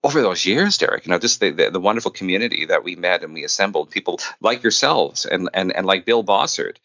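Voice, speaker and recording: A man's voice: sits low, lively intonation, fast talker, vocal fry, bright, forceful tone, no echo. A man chatting casually as an interview guest, telling stories conversationally. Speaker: podcast guest, filmmaker. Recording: dull, band-limited call.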